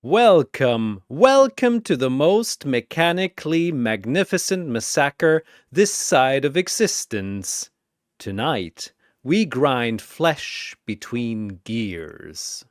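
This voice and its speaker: A man voicing a flamboyant, bombastic arena showman, proclaiming fighters in a drawn-out, theatrical announcer's style. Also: medium pitch, very animated, no echo, clean studio sound. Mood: grandiose.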